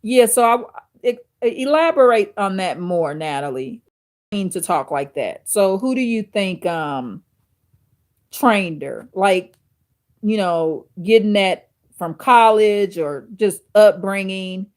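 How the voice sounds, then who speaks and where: A woman hosts a casual livestream and speaks straight to her viewers. Her voice is deep and authoritative, and she takes her time, thinking out loud with plenty of fillers. The talk sounds unscripted and conversational. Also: expressive. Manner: slow, thinking aloud with fillers and restarts; addresses viewers directly. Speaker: livestream host reading chat. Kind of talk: casual chat with live viewers.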